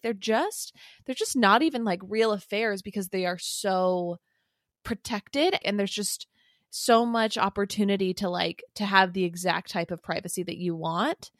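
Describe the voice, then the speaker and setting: A woman speaks at a middle pitch in a dry recording. She co-hosts a casual, comedic gossip podcast. Her delivery is tongue-in-cheek, often mock-earnest. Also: no room sound. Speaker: podcast co-host.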